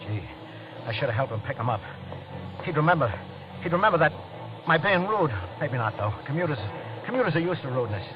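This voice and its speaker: Fast-talking, haunted radio thriller fugitive. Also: male voice, fairly high pitch, sing-song. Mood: haunted, brooding. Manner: tense, hurried, stammering when questioned. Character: guilty, panicky man on the run after a killing. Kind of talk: 1940s-50s radio acting, first-person thriller narration. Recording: dull old radio broadcast with music.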